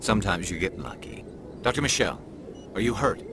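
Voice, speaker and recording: A man's voice: deep voice, big pitch swings, brisk, background noise. An actor voicing a cool, confident alien cop and marksman in a video game, with a wry manner. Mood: cool, satisfied. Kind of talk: video game cutscene acting.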